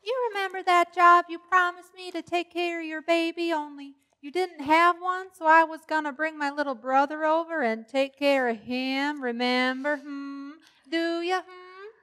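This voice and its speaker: High, bright, pesky little girl. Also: steady tone, moderate pace, few pauses. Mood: pestering. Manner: insistent, childlike, repeats catchphrases. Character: pesky, stubborn, chatty little neighbor girl. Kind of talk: old-time radio comedy acting.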